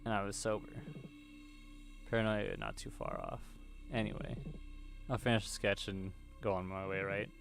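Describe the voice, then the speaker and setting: Low-voiced man reading a game story aloud. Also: fairly even intonation, moderate pace, vocal fry, music in the background. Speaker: gaming video host. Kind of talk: reading visual novel script aloud while playing.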